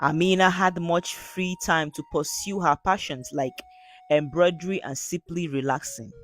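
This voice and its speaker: A woman narrating a scripted folktale in an earnest, measured storybook manner. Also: lowish voice, faint music behind.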